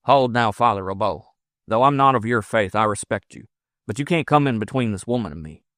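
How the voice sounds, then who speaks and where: A man voicing a belligerent but ardent gold-rush miner in an audiobook, forceful, emphatic and coaxing. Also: on the low side, lively intonation, brisk, dry recording, clean studio sound. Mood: defiant. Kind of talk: audiobook character dialogue.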